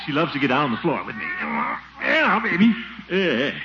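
Flustered jolly radio comedy man, high voice all over the place, chuckly, muffled old recording. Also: big pitch swings, moderate pace. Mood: soothing, flustered. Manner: chuckling, exclaiming, theatrical. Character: jolly, flustered middle-aged man doting on a baby. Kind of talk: 1940s radio comedy acting. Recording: noisy, dull 1940s radio recording.

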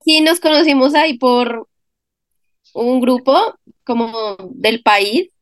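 A woman's voice: high-pitched, slow, dry recording. Bogotá-accented woman, dry close sound. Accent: Bogotá Colombian Spanish. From Bogotá, Colombia. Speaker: podcast guest, Instagram page admin. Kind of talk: casual chat.

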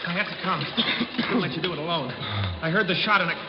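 An actor playing an anxious, earnest client with a hurried, agitated manner in a 1950s radio drama. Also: male voice, high-pitched, recorded from a distance, lightly acted. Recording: muffled, echoey, very noisy old radio recording.

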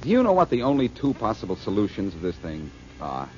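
A man's voice: on the low side, wildly swooping pitch, a little fast, darkish tone, faint music behind. An actor playing a wry, brooding male detective in an old radio drama. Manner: dry, wry. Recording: dull old radio broadcast with hiss.

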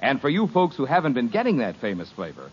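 A man's voice: huge pitch swings, fast talker. A male announcer reading a commercial in an upbeat, salesy manner for a 1950s radio broadcast. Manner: smooth, upbeat sales pitch. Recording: dull lo-fi old radio recording, faint hiss.

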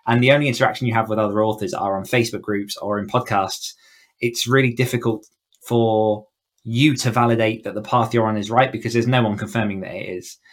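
A man's voice: fairly low pitch, steady tone, a little fast. A man speaking as a guest author and writing coach, explaining things conversationally and often rephrasing as he goes.

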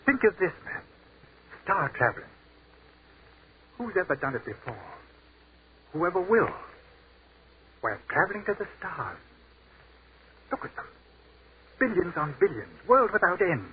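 An actor playing an earnest army captain who has died, speaking urgently in 1940s radio-drama style. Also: male voice, high voice, full character voice. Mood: exalted. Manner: urgent and stammering, then rapturous. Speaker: lead actor. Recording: tinny old radio broadcast with hiss.

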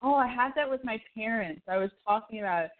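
A woman's voice: fairly high pitch, fast talker. A woman co-hosting a casual chat show, giving her opinions in an informal, conversational way. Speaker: podcast co-host. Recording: thin, phone-like band-limited audio.

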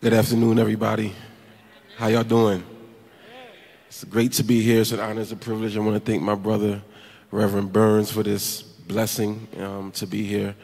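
A man's voice: fairly low pitch, little pitch movement, moderate pace, creaky. A man from Haiti, a pastor, introducing himself on a panel and joking with the crowd. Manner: warm, jokey with the crowd. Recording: echoey hall, faint noise.

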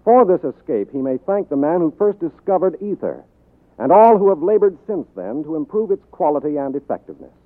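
An American man reading a script formally as a 1940s radio announcer, with measured enunciation. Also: on the high side, animated, phone-quality sound. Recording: thin vintage radio broadcast.

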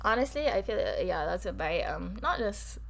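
A woman with a Barbadian accent co-hosting a podcast, talking fast and emphatically in casual chat. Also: mid-range voice, very animated, gravelly. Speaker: podcast co-host.

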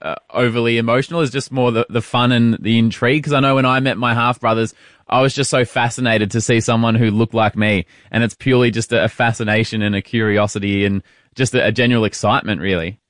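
A man hosting a call, gently and reflectively asking questions and sharing his own view as an adoptee. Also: medium pitch, steady tone, fast talker, dry recording, clean studio sound. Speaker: host and adoptee. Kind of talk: casual interview on a call.

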